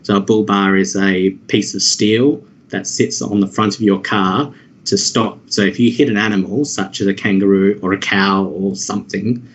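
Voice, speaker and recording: A man's voice: lowish voice, husky. An Australian man answering questions in casual conversation, rambling and hesitant with many fillers and restarts. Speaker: interviewee. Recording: dull lo-fi audio.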